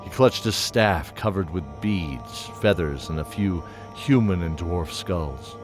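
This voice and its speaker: A man narrating a fantasy audio drama from a script in a measured storytelling manner. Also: deep voice. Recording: music playing behind.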